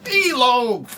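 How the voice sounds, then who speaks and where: A man's voice: very high-pitched, noisy background. A man giving casual, slangy reaction commentary as an online video host, exclaiming and mocking as he goes. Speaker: YouTube host reacting to movie trailers. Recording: music playing behind the voice.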